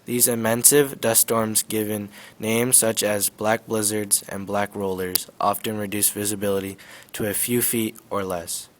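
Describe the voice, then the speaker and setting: A young man reading a school-project news report on the radio era, quick and loud. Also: lowish voice, little pitch movement. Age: teens.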